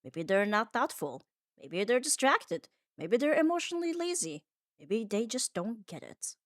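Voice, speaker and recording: A woman's voice: on the high side, big pitch swings, a little slow, dry recording. Woman, scripted comfort ASMR, earnest and reflective delivery, emphatic short phrasing, close-miked, dry recording. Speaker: ASMR audio performer.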